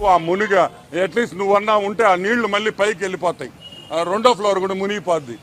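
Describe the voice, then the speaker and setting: High-pitched sarcastic man. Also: quick pace, heavy background noise. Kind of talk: mocking political commentary.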